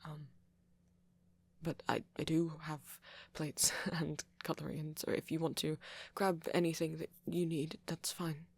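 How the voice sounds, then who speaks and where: A man playing a shy, nervous young vampire in an ASMR roleplay, stammering softly and apologetically with a British accent. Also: fairly high pitch, little pitch movement. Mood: shy, flustered. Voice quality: soft, breathy, often near whisper. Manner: stammering, halting, flustered and apologetic. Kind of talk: ASMR boyfriend roleplay, intimate acting.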